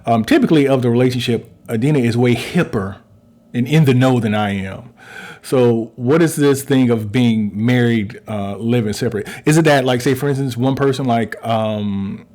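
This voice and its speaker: Man in his forties, relationship coach, casual and polite, thinks out loud with lots of fillers. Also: mid-range voice, moderate pace. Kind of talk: casual conversational chat.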